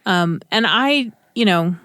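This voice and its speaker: A woman's voice: mid-range voice, animated, takes it slowly, fairly bright tone, clean tone, no echo, studio quality. A woman speaking casually and reflectively as a panelist in a radio discussion. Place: radio studio.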